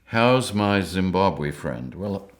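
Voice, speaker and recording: An older man from Rhodesia, telling lively anecdotes in an interview and chuckling often. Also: low-pitched, slow pace. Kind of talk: storytelling interview.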